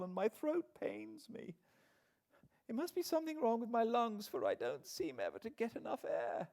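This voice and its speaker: A frail, anxious young British woman, as performed by a male narrator, confides in her diary in an audiobook. The voice sits high and moves expressively up and down. The manner is weary and plaintive. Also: vocal fry, darkish tone, full character voice. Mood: weak, distressed. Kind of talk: dramatised audiobook diary reading.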